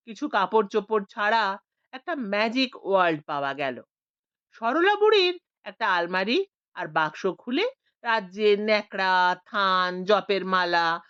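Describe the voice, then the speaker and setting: Expressive woman narrating an audiobook. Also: medium pitch, no echo. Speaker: storyteller. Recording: dry, dull lo-fi audio.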